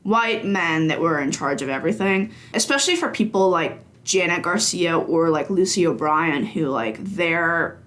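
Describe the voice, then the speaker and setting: A woman's voice: on the low side, expressive, normal speed, hardly any pauses, a bit bright. A woman in her twenties, a games journalist guest, talking casually with many fillers and frequent laughs. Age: 20s. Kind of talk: casual conversation.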